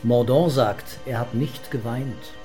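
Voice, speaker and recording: Actor as terse, sober police commissioner over music. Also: male voice, mid-range voice, takes it slowly, mellow, close to an everyday voice. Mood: sober, matter-of-fact. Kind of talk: German radio crime drama acting.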